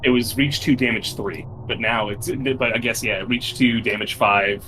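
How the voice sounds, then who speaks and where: A man's voice: medium pitch, steady tone, moderate pace, bright, forceful tone, a little hiss or hum, rough recording. A man chatting casually as a player in a tabletop role-playing game, informal and slangy.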